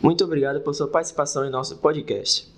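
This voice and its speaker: A man's voice: fairly high pitch, steady tone, quick pace. A man presenting a podcast from a script, formal and orderly as he asks questions. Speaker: podcast host, interviewer.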